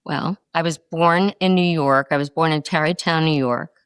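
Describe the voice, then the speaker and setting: A middle-aged woman from North Carolina, a podcast guest answering interview questions in quick, expressive stories. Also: low-pitched, clean tone, dry recording.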